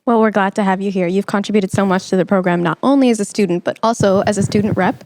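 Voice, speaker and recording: A woman's voice: mid-range voice, fairly even intonation, quick pace, a little mellow, no room sound. A woman hosting a podcast, chatting casually as she interviews a guest. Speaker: podcast host.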